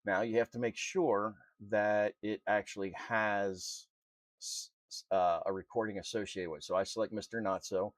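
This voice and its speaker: A man presenting an unscripted software tutorial in an informal, halting, step-by-step way. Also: fairly low pitch, steady tone. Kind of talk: unscripted screen-recorded walkthrough.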